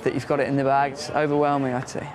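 A male jockey talking excitedly and rushing his words about winning. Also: medium pitch. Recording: crowd noise, distant mic.

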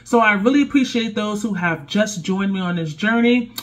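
A woman's voice: mid-range voice, moderate pace. A woman in her thirties, stuffy from a cold, chatting casually to her viewers as she reviews gear in her home kitchen. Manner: chatty, speaks directly to viewers.

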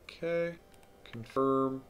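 A man streaming a video game live, thinking aloud in a relaxed way with frequent ums. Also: mid-range voice, slow pace. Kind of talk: live let's-play commentary.

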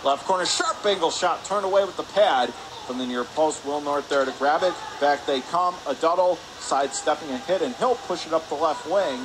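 A man giving live radio-style hockey play-by-play in clipped phrases, getting excitable on big plays. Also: on the high side, normal speed. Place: indoor ice hockey arena. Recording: clear rink noise behind. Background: arena ambience and noise.

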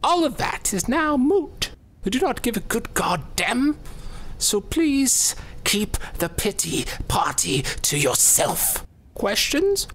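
Smug, theatrical prison officer, wildly swooping. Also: male voice, very high-pitched, sing-song, slow pace, fairly bright tone, slight background noise, full character voice. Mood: smug menace. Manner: theatrical, mocking, folksy. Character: smug, menacing male death row prison officer. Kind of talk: audio drama acting. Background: music.

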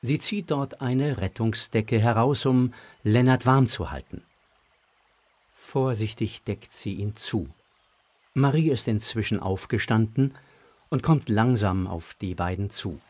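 A man's voice: lowish voice, a little slow, darkish tone. A man narrating a scripted audio drama for children.

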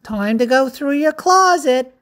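A woman voicing a brisk cat mother in a storybook read-aloud, firm and calling out orders. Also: high-pitched, animated, a bit bright, pitched above the performer's own voice. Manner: bossy, calling out.

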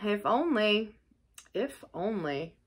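A woman's voice: sits high, expressive, moderate pace. A woman voicing a sceptical male rat in a storybook, a loyal friend with a dry, knowing manner. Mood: dubious.

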